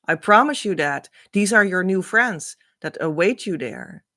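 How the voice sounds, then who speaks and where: Slow-speaking woman teacher. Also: lowish voice, animated, sharp tone. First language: Dutch. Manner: earnest, reassuring, unhurried. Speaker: spiritual teacher. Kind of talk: spiritual teaching talk.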